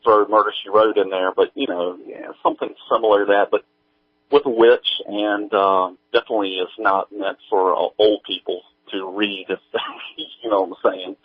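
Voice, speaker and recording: A man talking casually as an interview guest, halting and hesitant as he explains things over a remote call. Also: on the low side, steady tone, no room sound. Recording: tinny, narrow phone-like line.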